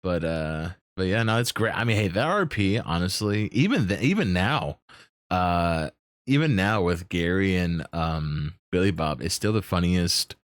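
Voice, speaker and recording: Low-voiced man, animated streamer host. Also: a little slow, no room sound, clean studio sound. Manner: animated, profane, stammers and repeats himself. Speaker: podcast host and role-play streamer. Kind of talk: casual, unscripted interview chat.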